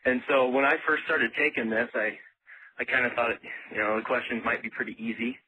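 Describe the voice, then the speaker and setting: A man's voice: medium pitch, fairly even intonation, fairly quick, sharp tone. A man giving a casual, plain-spoken, folksy phone interview as a contestant being asked about his experience. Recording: muffled phone line with background noise.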